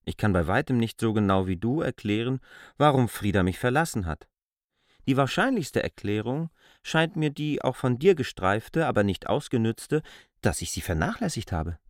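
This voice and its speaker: K., low-voiced, animated arguer. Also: male voice, no room sound, clean studio sound. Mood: reasoning, self-searching. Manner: deliberate, argumentative, articulate. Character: K., coolly reasoning, insistent man lecturing a barmaid. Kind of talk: literary radio play acting.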